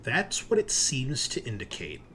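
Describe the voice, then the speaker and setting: A man running a tabletop roleplaying game, narrating casually in a halting, searching, informal way. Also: mid-range voice, huge pitch swings, bright, forceful tone. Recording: clearly noisy recording with room ambience.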